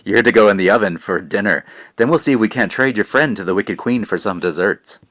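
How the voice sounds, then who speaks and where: A man voicing a raspy, sinister faceless witch in a storybook reading, purring over the word "darling.". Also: lowish voice, a little fast, a bit bright, dry recording, clean studio sound, lightly acted. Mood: purring menace.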